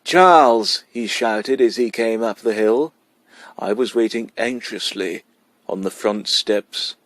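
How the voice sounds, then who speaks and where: Guy narrating a short story, real slow pace, dry close sound. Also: lowish voice, steady tone, no room sound. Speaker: story reader.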